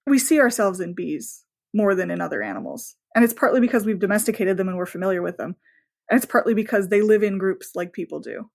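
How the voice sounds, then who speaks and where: Female podcast guest, mid-range voice, conversational, animated storyteller with nerdy enthusiasm. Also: medium pitch, fast, vocal fry. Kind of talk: casual interview conversation.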